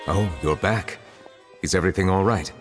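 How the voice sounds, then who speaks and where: An actor playing a calm, reserved, centuries-old judge, formal and measured, in anime game style. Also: male voice, low-pitched, lightly acted. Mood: calm, concerned. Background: soft game music.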